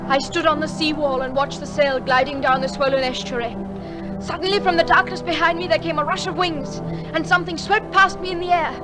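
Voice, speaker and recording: Actress as tender young woman, high voice, earnest, measured, emotive radio play storytelling, music behind. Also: steady tone, natural voice. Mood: wistful narrating.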